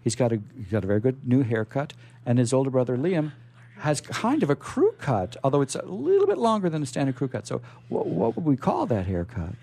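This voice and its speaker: Mellow man hosting a chatty radio show. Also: mid-range voice, wildly swooping pitch, creaky, husky. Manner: rambling, halting thought, often repeats words. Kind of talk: chatty hosting and interviewing, reading stories aloud. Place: radio studio. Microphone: studio microphone.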